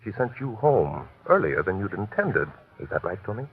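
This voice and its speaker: Low-voiced, husky radio detective. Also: male voice, big pitch swings, normal speed, heavily acted. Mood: insistent. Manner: polite, methodical, smoothly insistent. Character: shrewd, unruffled male detective, courteous and probing. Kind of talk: classic radio mystery acting. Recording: tinny, narrow-band old radio recording.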